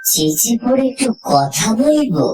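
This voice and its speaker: A woman narrating a scripted horror story in the first person, reading dramatically and deliberately with suspenseful pauses. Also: on the high side, very animated, warm tone, faint music behind, low-quality recording.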